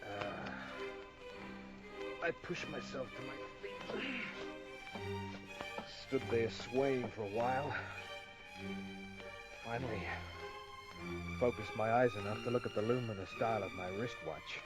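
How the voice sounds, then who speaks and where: An actor playing a tough, wisecracking private detective in a clipped, dry, world-weary manner, in vintage radio noir style. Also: male voice, mid-range voice, takes it slowly, pauses often, over loud music, natural voice. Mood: terse, brooding. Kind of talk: 1950s radio noir acting and narration. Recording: dull vintage radio recording.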